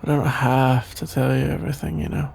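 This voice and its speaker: A man playing a shy, sleepy young best friend in an ASMR roleplay, stammering, hesitant and evasive in a creaky, drowsy voice. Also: mid-range voice, little pitch movement, a little fast, darkish tone, a little hiss or hum. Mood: embarrassed, evasive.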